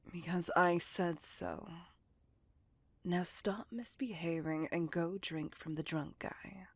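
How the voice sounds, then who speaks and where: A woman's voice: on the low side, dry recording, heavily acted. A woman voicing a possessive, seductive vampire in a whispered ASMR roleplay, doting on her lover with intimate, coaxing control. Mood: stern, threatening. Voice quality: breathy whisper with husky, creaky edge. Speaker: voice actor.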